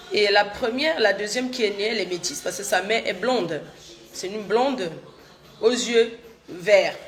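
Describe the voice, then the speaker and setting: A woman's voice: medium pitch, fairly bright tone. A middle-aged woman, chatting casually on a livestream while she has a cold, repeating herself and answering viewers' comments directly. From Cameroon. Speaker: social media livestreamer. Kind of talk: casual live chat with viewers.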